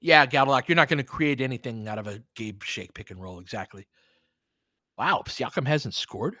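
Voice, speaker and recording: A man hosts live basketball commentary in a relaxed, chatty style. He follows the play in short, clipped phrases and openly sides with his team. The sound is dry, with very little room in it. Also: mid-range voice, normal speed, dry recording. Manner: conversational, reacting as a partisan fan in short clipped phrases. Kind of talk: casual live play-by-play commentary mixed with fan chat.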